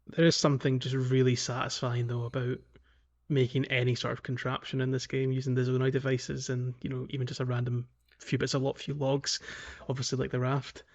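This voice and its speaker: A man's voice: mid-range voice, monotone, fairly quick, a little mellow, lo-fi sound. A man speaks in a middle-range voice as co-host of a podcast. He talks informally and conversationally, walking through a video game as he goes. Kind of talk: casual video game discussion.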